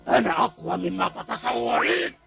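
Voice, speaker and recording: A man voicing a burly, blustering, boastful male bully in a dubbed cartoon, with a gravelly voice. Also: sits high, fairly quick. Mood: boastful, indignant. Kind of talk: Arabic cartoon dubbing. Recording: music under the voice.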